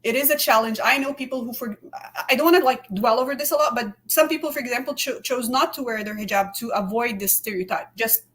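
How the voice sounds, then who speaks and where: Female podcast guest, slightly high voice, earnest and candid, lots of restarts. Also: fast talker, fairly bright tone. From immigrant to Canada. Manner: earnest, repeats words and hedges often. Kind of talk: candid conversational interview.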